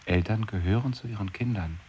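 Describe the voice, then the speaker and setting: Give me a deadpan man with a very low, husky voice, talking in dry logic. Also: fairly quick. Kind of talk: experimental radio play dialogue.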